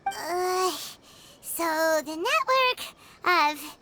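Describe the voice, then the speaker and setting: A woman voicing a tiny childlike fairy companion in a game, squeaky, whiny and easily confused. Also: expressive, very slow, heavy background noise, full character voice. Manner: whiny, speaks in third person.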